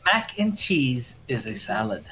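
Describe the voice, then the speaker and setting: Animated, androgynous voice in casual banter. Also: medium pitch, unhurried. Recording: music behind the voice. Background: music.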